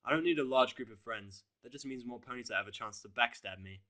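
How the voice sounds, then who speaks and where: A man's voice: medium pitch, fast, pushed and bright. A man reading a first-person fan fiction story as narrator, with a blunt, sardonic delivery.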